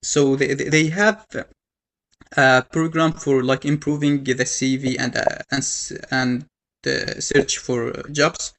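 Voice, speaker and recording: Halting young man, slow with gravelly fry. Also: medium pitch, fairly even intonation, a bit bright. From Yemen. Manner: halting, many fillers and restarts. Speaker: panel speaker. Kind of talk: personal story told to an audience.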